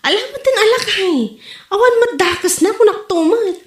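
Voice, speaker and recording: A woman's voice: very high-pitched, moderate pace. A woman with an Ilocano Filipino accent acting an eager, chatty village wife in an amateur comedy audio drama. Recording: roomy, echoing amateur podcast recording.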